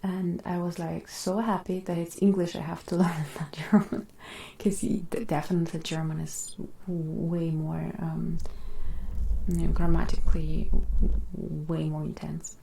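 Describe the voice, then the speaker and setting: Russian native girl doing asmr chat, mellow, kinda echoey, whispery and soft. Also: little pitch movement, a little slow, gravelly, a little hiss or hum. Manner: soft-spoken, slips between whisper and low talk. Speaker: ASMR streamer. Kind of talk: casual whispered chat with viewers.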